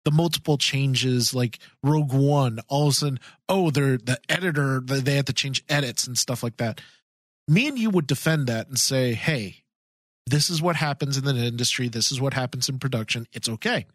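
A man's voice: medium pitch, normal speed, dry recording. A man co-hosting a podcast, chatting casually and agreeably. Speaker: podcast co-host. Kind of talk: casual chat.

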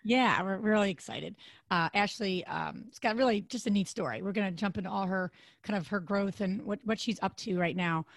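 A woman in her thirties co-hosting a podcast, with a deep, raspy, hoarse voice, chatting casually and rambling with fillers and restarts. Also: fairly quick, no echo. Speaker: podcast co-host.

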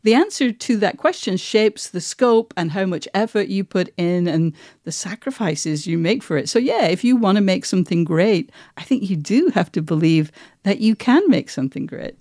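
Mellow middle-aged woman podcast host. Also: mid-range voice, animated, moderate pace, no room sound, studio quality. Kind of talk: conversational podcast discussion.

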